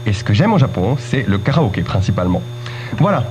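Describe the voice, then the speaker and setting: A man's voice: on the low side, very animated, fast talker, a little mellow, muffled recording, soft background music. A man from the Bordeaux region, appearing as a guest actor and cheerfully giving enthusiastic recommendations. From Bordeaux region, France. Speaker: actor in a course drama, guest. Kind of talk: casual guest greeting.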